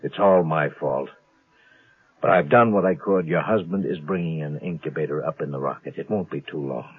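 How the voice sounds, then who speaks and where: Flustered army doctor, low husky voice, anxious worrier, 1950s radio drama, thin narrow sound. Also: male voice, normal speed, dry recording. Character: nervous, flustered male military doctor, well-meaning worrier.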